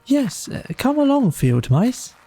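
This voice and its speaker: A man's voice: extremely high pitch, big pitch swings, slow pace, mellow, breathy edge, faint music behind, pitched above the performer's own voice, full character voice. A man voicing an eager, sociable, fretful mole in a storybook, with a flustered, slightly stammering manner.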